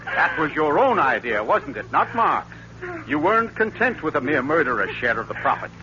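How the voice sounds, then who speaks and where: An actor playing a suave, theatrical detective who taunts with florid rhetoric in a 1940s radio thriller. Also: male voice, on the high side, very animated, normal speed. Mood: taunting, triumphant. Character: suave, theatrical amateur detective, relishing his accusation. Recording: dull noisy 1940s radio recording.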